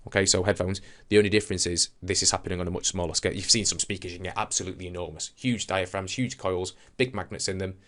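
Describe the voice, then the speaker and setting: A man's voice: low-pitched, steady tone, quick pace, hardly any pauses, fairly bright tone. A man teaching a science lesson in an informal, explanatory way, talking conversationally and thinking aloud as he demonstrates.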